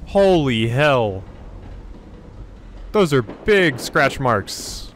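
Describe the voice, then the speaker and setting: A man's voice: medium pitch, big pitch swings, very slow, a little hiss or hum. A man giving casual let's play commentary, thinking aloud as he goes. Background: game ambience.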